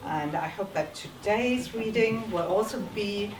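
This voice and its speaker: A teacher making a polite, formal welcome speech at a school reading, speaking English as a German native with some ums. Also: female voice, medium pitch, a little hiss or hum. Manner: polite, careful, with ums and pauses. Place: school hall. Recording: echoey hall, distant mic, audible ambience.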